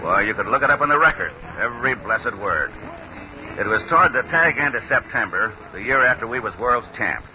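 A man playing a folksy American grandfather and former baseball trainer, animated and ungrammatical, in a 1940s radio drama. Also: mid-range voice, a little fast. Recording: muffled, hissy 1940s radio recording.

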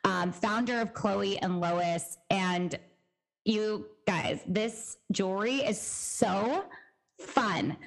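A woman's voice: mid-range voice, extremely slow, creaky, low-quality recording. A woman hosting a podcast, talking in a bubbly, gushing way. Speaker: podcast host. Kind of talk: upbeat podcast chat.

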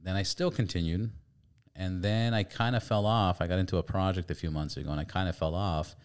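Deep-voiced man hosting a casual podcast. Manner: animated storyteller, swears casually. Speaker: podcast host. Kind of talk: casual chat.

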